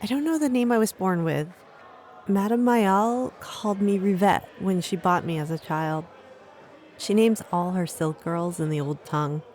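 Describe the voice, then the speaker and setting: A woman's voice: fairly low pitch, moderate pace, a little mellow, slight background noise, close to an everyday voice. A woman acting a desperate, earnest street girl of about thirteen in a fantasy audio drama. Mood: desperate, pleading. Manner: pleading, earnest.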